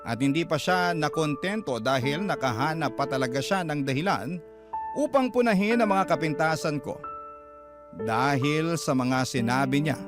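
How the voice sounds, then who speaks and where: A Filipino man hosting a radio drama, reading a listener's letter as dramatic scripted narration. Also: on the high side. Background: music behind the voice.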